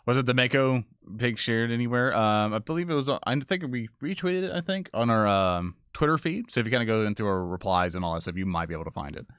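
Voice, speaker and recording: A man's voice: medium pitch, expressive, fast talker, few pauses, fairly bright tone, smooth, no room sound, studio quality. A man co-hosting a livestream, chatting informally and enthusiastically with the viewers. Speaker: livestream co-host, social media producer. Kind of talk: casual livestream chat addressing viewers.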